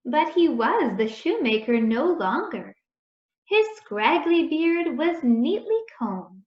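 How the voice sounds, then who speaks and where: A woman reading a children's story aloud in a deliberate, expressive storytelling manner. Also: sits high. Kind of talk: read-aloud storytelling, scripted narration. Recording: echoey room, dull muffled sound.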